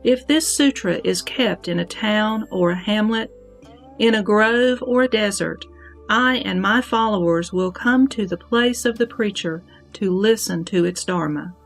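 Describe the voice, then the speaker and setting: Slow, reverent woman as a devout deity. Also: medium pitch, creaky. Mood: reverent, earnest. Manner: solemn, measured, reverent. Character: Sakra, devout deity and protector pledging to the Buddha. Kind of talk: scripted sutra recitation in audio drama. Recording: music bed under the voice.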